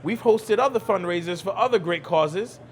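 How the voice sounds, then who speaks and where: A man's voice: high voice, moderate pace, a little hiss or hum. A man talking conversationally as an interview guest, speaking emphatically and repeating phrases. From Brooklyn, New York, United States. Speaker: cigar lounge owner, podcast guest.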